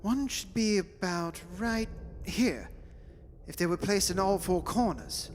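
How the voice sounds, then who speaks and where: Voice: mid-range voice, takes it slowly, lightly acted. An actor playing a polite, earnest young fiancé in a radio play. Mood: earnest, dutiful. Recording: echoey hall, faint background hiss.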